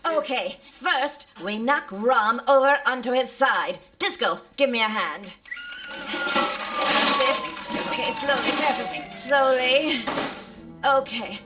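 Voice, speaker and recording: An actress playing a brisk spaceship captain who takes charge with deliberate, commanding delivery in a comic sci-fi radio play. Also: female voice, sits high, wildly swooping pitch, background noise, exaggerated voice.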